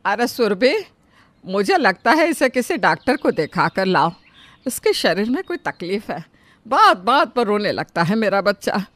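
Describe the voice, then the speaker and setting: A woman voicing a bossy, sharp-tongued older mother-in-law who scolds and commands her family. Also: medium pitch, very animated, measured pace, no echo. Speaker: voice actor. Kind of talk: Hindi storybook drama acting.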